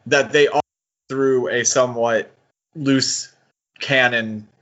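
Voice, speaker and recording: A man's voice: mid-range voice, fairly even intonation, takes it slowly, a bit bright, highs cut off. A man co-hosting a podcast in casual, analytical discussion, hedging and thinking aloud as he goes. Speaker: podcast co-host.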